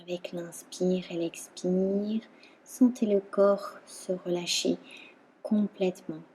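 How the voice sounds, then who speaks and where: A woman instructor guiding a relaxation meditation in a calm, soothing manner, leaving long pauses between phrases. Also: lowish voice, husky, rough recording. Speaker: yoga nidra instructor.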